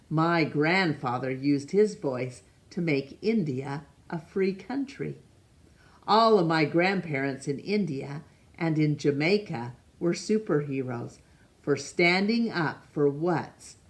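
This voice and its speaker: A woman reading a picture book aloud to children, expressive and pausing to ask young listeners questions. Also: low-pitched. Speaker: storytime reader.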